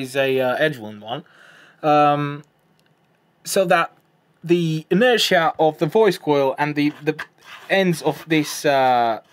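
A young man giving a casual, unscripted technical explanation, hesitant and halting, often repeating and correcting himself. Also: fairly high pitch. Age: young adult. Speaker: presenter of an audio gear video. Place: indoors, room at home.

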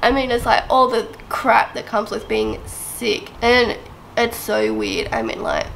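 A woman's voice: on the high side, big pitch swings, unhurried, vocal fry, fairly bright tone, slight background noise, low-quality recording. A young woman vlogging to camera while sick, her voice nasal and blocked up, rambling with lots of fillers. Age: young adult. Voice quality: nasal, congested. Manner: chatty, rambling, many fillers like "um" and "I mean, like". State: sick with a blocked nose and sore throat. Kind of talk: casual to-camera vlog talk. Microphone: camera close up at arm's length.

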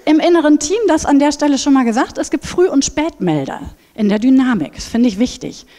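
A woman in her thirties on a headset mic, giving a lively conference talk and acting out inner voices. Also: high-pitched, brisk. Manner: animated, acts out inner voices. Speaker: speaker at a conference. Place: large brick hall with seated audience. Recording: reverberant hall.

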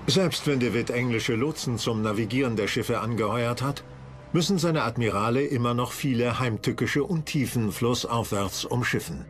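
A man's voice: medium pitch, normal speed, a little hiss or hum. A man reading scripted narration for a history documentary.

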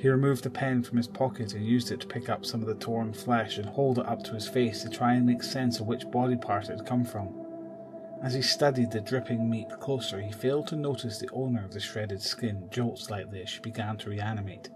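A man narrating a horror audio drama in a measured, descriptive storytelling manner. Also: medium pitch, over music.

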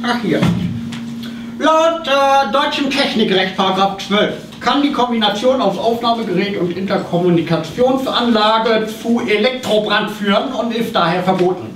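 High-pitched, pedantic snooping taxman acted by a man. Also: fairly bright tone. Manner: officious, halting, quoting paragraphs. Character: pedantic, snooping male tax investigator. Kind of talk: live satirical radio play on stage. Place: small theatre stage with audience. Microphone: far camera. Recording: distant, noisy, echoey theatre stage recording.

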